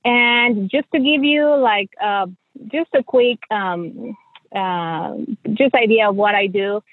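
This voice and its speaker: A woman's voice: medium pitch, takes it slowly, no room sound. Mexican-accented woman, muffled dry recording. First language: Spanish. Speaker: podcast guest, financial coach and journalist. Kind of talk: conversational personal storytelling.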